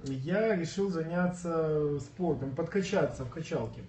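A man's voice: a little high, a little slow, a little mellow, slight background noise. A bodybuilding coach, slightly ill and coughing now and then, telling his story in casual livestream chat. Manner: earnest, storytelling, clears throat and coughs. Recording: echoey room, far from mic, dull.

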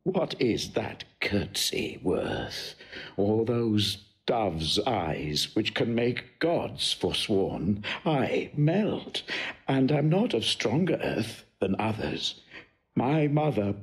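An actor playing a proud, vengeful Roman general torn by family love, declaiming gravely and with passion in a classical Shakespearean audio drama. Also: male voice, lowish voice, very animated, drawn-out words, heavily acted. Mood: anguished, wavering. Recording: faint background noise.

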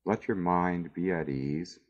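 A man narrating a scripted guided meditation in a measured, soothing way with long pauses, on a dull recording. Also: sits low.

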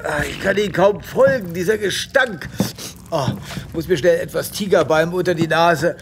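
Man playing a high-pitched, whiny space veterinarian. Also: normal speed, heavy background noise, close to an everyday voice. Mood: disgusted. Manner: melodramatic, whiny. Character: vain, self-pitying, theatrical male space veterinarian. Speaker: lead actor of an audio drama series. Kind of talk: comic audio drama acting.

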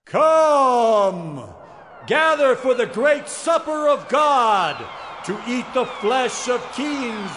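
A man playing a mighty angel in an audio Bible drama, loudly proclaiming a summons. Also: extremely high pitch, exaggerated voice. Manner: loud, slow, drawn-out proclaiming. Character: mighty male angel summoning birds to a feast. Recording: noisy crowd-like ambience over voice.

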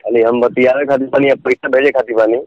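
A man's voice: medium pitch, fairly even intonation, quick pace, no echo. A man with a Bhojpuri accent in an argument on a recorded phone call, answering curtly and defiantly. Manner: curt, defiant.

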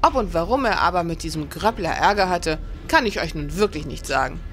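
A woman's voice: fairly low pitch, expressive, a little fast, fairly bright tone, slight background noise. A woman playing a plain-spoken, guarded innkeeper of about forty in a historical radio play. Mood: firm, explanatory. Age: middle-aged.

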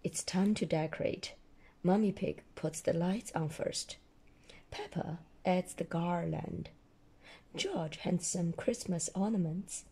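A woman storyteller with a hoarse voice reading a children's picture book aloud and explaining it simply to a child. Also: on the low side, slow pace, warm tone. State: hoarse, losing her voice.

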